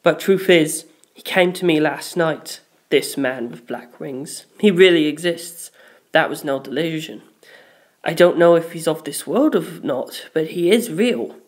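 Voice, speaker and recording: A woman's voice: low voice, lively intonation, unhurried. A woman voicing a grave, haunted male doctor with a subdued, solemn way of speaking in a story reading.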